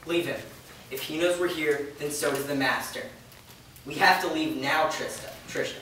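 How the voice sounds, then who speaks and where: A man's voice: a little high, normal speed, sharp tone. A man playing an impatient, pragmatic young man in a staged reading of a drama. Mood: impatient, curt. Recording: echoey room, distant mic, faint noise.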